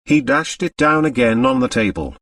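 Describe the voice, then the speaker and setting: A man narrating an audiobook in an expressive, scripted storytelling style. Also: mid-range voice, normal speed, dry recording, far from the mic.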